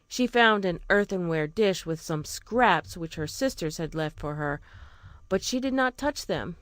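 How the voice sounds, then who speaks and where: Expressive woman narrating a fairy tale. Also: mid-range voice, no echo, clean studio sound. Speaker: storyteller.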